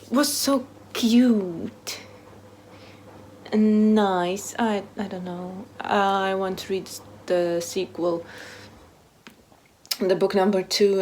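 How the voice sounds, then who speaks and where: A woman book vlogger chatting casually to camera in short, halting phrases that trail off softly. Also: medium pitch, a little hiss or hum. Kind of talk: casual chat to camera, answering a book tag.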